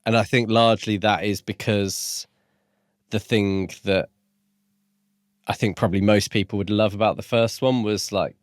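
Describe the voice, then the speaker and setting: A man's voice: fairly low pitch, fairly even intonation, moderate pace, pauses often, no room sound, clean studio sound. A man co-hosting a book review podcast, recapping and discussing a novel. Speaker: podcast co-host.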